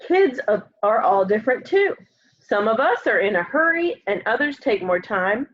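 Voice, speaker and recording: A woman reads a picture book aloud in a fairly high voice with a slightly husky edge. Her delivery is expressive and simple, aimed at small children. The home recording sounds a little dull. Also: normal speed. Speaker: storybook reader. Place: room at home, by a window.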